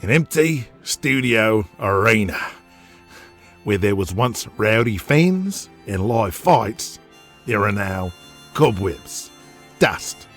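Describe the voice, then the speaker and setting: Give this audio drama , acted out by a male narrator, a domineering Australian tycoon and big-game hunter. He is boastful, foul-mouthed and intimidating, pitched above the narrator's usual talk. Music plays clearly behind him. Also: expressive, slow pace, a bit bright, exaggerated voice. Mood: commanding, scornful.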